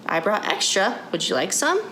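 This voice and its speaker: A woman's voice: mid-range voice, big pitch swings, moderate pace, sharp tone. A woman voicing a proud, unbothered young schoolgirl in a storybook, cheerful and insistent as she explains. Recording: roomy echo, background noise.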